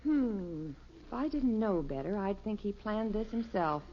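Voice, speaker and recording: A woman's voice: medium pitch, lively intonation, unhurried. An actress playing a sensible, put-upon middle-aged American mother in a 1950s radio sitcom, dry and sarcastic. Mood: resigned. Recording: muffled, noisy old radio recording.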